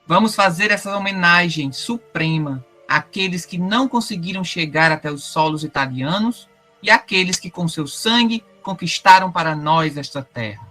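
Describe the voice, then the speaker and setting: A man's voice: sits high, expressive, moderate pace, pushed and bright, soft background music, heavily acted. A man playing a young king, giving a solemn, declamatory speech in a radio drama. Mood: solemn tribute. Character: young male king, solemn, mourning the fallen.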